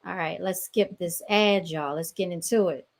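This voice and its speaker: A woman's voice: fairly low pitch, normal speed, creaky. A woman hosting a podcast, talking casually and sarcastically over clips she plays, laughing often and speaking straight to her listeners. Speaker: podcast host. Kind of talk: casual commentary reacting to clips.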